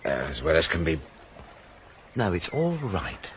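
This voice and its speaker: An actor playing an astute, world-weary police detective in a radio crime drama, with a husky voice and a deliberate way of explaining. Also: male voice, a bass voice, wildly swooping pitch, fairly bright tone, slight background noise, heavily acted. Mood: weary, reflective.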